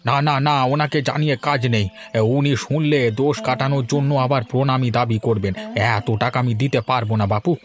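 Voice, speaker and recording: Casting: man as older village patriarch, mid-pitched, measured and tight-fisted, cartoon drama with soft music behind. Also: steady tone, lightly acted. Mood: uneasy, stingy. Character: older village husband, calm, practical, miserly father-in-law. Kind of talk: Bengali animated fairy-tale dubbing.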